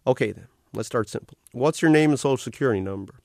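A man voicing a cheerful electronic male otter, a carnival-bright official with a sing-song, salesman's cheer. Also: mid-range voice, fast talker, gravelly, dry recording, clean studio sound. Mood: chirpy. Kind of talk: audiobook character voice.

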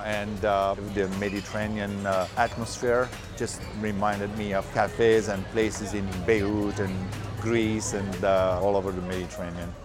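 A man, a restaurant owner, speaking calmly in an interview clip with some hesitant fillers. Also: on the low side. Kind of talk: interview soundbite.

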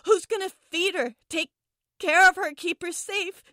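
A woman's voice: extremely high pitch, fairly even intonation, unhurried, bright, forceful tone, dry recording, studio quality, exaggerated voice. A woman playing a scared but defiant young schoolgirl in a dramatic monologue, stammering and repeating herself with foul-mouthed bravado. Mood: anxious. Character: frightened, defiant young schoolgirl trapped in a car trunk. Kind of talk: dramatic first-person monologue.

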